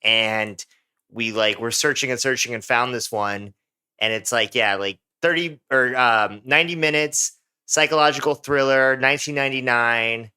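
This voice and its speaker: A man's voice: medium pitch, moderate pace, pushed and bright, smooth. A young American man, co-hosting a podcast in casual, rambling chat full of filler words. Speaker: podcast co-host.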